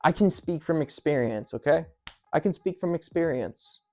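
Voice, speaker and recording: An online commentator delivers an opinionated rant straight to the listener at a medium pitch. The delivery is emphatic and pleading, circling back to repeat points for weight. Music plays behind the voice. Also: animated, moderate pace, darkish tone. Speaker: video creator giving commentary.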